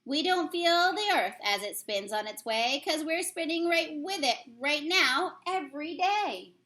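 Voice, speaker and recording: Female teacher, children's read-aloud, sing-song delivery, bright high voice. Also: a little slow. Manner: sing-song, expressive storybook reading. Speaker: teacher reading a picture book aloud.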